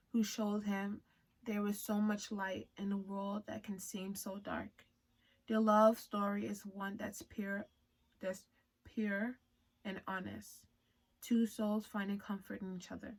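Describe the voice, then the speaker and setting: A woman's voice: mid-range voice, steady tone, unhurried, a little mellow. A woman from Liberia with a Liberian accent, whose first language is not English, chatting casually with viewers and reading her own story aloud. She uses playful slang and giggles often.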